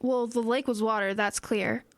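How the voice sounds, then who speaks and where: A woman's voice: a little high, fairly even intonation, moderate pace, no room sound, natural voice. A woman playing an analytical teenage schoolgirl in an audio drama, matter-of-fact and reasoning things out aloud. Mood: analytical, determined. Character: earnest, analytical teenage schoolgirl amateur sleuth.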